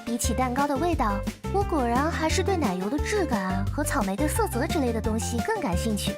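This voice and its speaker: A woman's voice: high-pitched, very animated, a little fast, loud music behind the voice. A woman voicing a sweet, playful anime girl in a visual novel, with a cutesy, teasing manner. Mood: cheerful, coaxing. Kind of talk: visual novel character acting.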